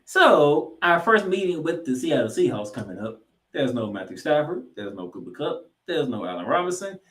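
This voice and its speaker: A man hosting a sports podcast, giving football commentary in an emphatic way, with dramatic pauses and repeated phrases. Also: fairly high pitch, sing-song, a bit bright.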